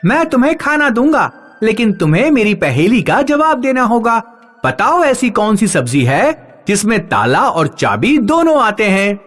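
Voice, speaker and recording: Magical storybook flower played by a man: pitched high, animated, beckoning, teasing out riddles. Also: unhurried, soft background music, heavily acted. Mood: inviting, playful. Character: talking magic flower offering food for riddles.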